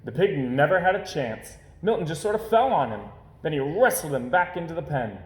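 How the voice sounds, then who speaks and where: A man reading a children's story aloud as storyteller, with expressive narration. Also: on the high side, fairly quick. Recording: roomy, echoing home recording.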